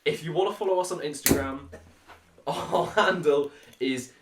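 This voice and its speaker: A man's voice: a little high, a little slow, background noise. A British man in his twenties, a tipsy podcast host chatting casually and rambling informally with friends. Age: 20s. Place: small makeshift recording room late at night. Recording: roomy, echoey home recording.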